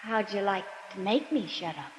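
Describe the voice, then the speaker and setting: An actress playing a defiant, compassionate young hostage, pleading and indignant in an old radio drama. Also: female voice, mid-range voice, animated, moderate pace, close to an everyday voice. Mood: coaxing. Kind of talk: 1950s radio drama acting. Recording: dull, reverberant old radio recording.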